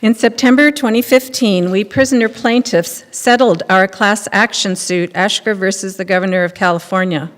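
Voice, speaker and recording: A woman's voice: mid-range voice, fairly even intonation, normal speed, hardly any pauses. An older woman giving a scripted reading aloud from a lectern. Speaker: reader in readers theater. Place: large temple hall, at lectern. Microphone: microphone on stand. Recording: echoey hall.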